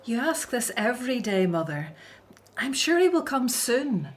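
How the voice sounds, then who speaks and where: Middle-aged daughter, hopeful yet doubting. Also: female voice, on the high side, sing-song, measured pace. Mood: patient. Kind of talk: radio drama acting.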